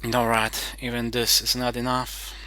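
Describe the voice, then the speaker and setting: A man playing a strategy game and thinking aloud in terse, halting remarks, muttering to himself as he weighs his moves. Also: mid-range voice, completely flat delivery, sharp tone, low-quality recording. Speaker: board game player.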